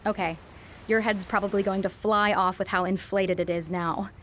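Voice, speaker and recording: A woman's voice: mid-range voice, steady tone, moderate pace, a little hiss or hum, close to an everyday voice. An actress playing a young woman, tender and teasing, recalling a first kiss in a radio drama. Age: young adult. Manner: breathless, giddy, teasing and tender.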